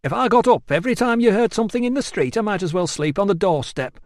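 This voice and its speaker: A man voicing a chatty, nosy male barber who talks in rough, colloquial grammar. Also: high voice, lively intonation, quick pace, no echo, studio quality, voice pushed up. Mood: gossipy. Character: chatty gossiping male barber, middle-aged.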